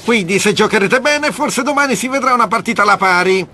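A man's voice: high-pitched, fast, pushed and bright. A man playing a haughty, touchy teenage team captain in an anime dub, pompous and indignant. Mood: condescending.

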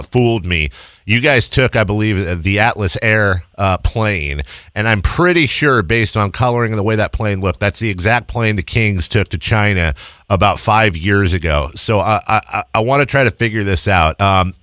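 A man's voice: lowish voice, moderate pace, a bit bright, no echo. A man hosting a sports radio show, asking chatty, meandering questions into a close studio microphone. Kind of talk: casual sports radio interview. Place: small padded radio studio.

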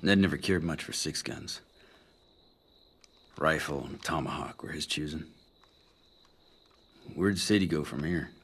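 A man playing a terse, gruff young American gunslinger in a western video game. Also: a bass voice, measured pace, long pauses, fairly bright tone, heavily acted. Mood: low, grim. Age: young adult. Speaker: game protagonist.

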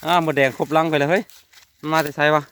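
A man's voice: fairly high pitch, fairly even intonation, fast talker, fairly bright tone, a little hiss or hum. A man with an Isan Thai accent chatting casually to viewers in a vlog, outdoors among rural trees. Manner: chatty, keeps calling out to viewers. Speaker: vlogger.

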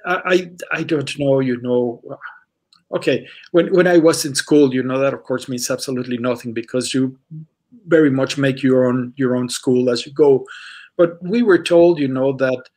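A man's voice: mid-range voice, fairly even intonation, normal speed, darkish tone. Man, artist on video call panel, hesitant, restarts a lot, many you-knows. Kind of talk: reflective panel answer.

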